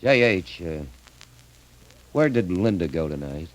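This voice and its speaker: An actor playing a blunt, loyal old friend, earnest and concerned, in 1930s radio drama style. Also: male voice, low voice, big pitch swings, measured pace. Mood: concerned, probing. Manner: earnest, probing. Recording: faintly noisy old radio recording.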